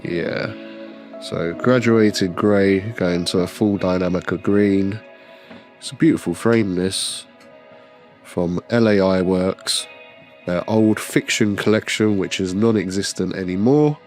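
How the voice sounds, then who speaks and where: A man's voice: sits low, slow pace, soft background music. A man with a cold and a slightly nasal voice, casually narrating a workshop vlog about a glasses repair. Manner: relaxed, enthusiastic product talk with fillers. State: has a cold. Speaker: optician showing a frame job.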